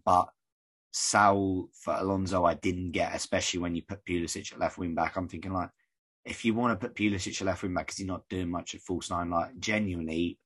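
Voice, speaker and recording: A man from the UK hosting a casual podcast, rambling and conversational, his voice croaky. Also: deep voice, a bit bright. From United Kingdom. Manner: rambling, conversational, many fillers. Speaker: podcast host.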